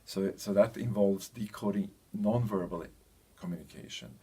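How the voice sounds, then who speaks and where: A man with a deep, somewhat rough-edged voice presents training material. He talks in an explanatory way, halting often to find the right word. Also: warm tone. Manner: hesitant, halting, searching for words. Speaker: presenter of a language training package. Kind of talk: explanatory presentation, loosely scripted.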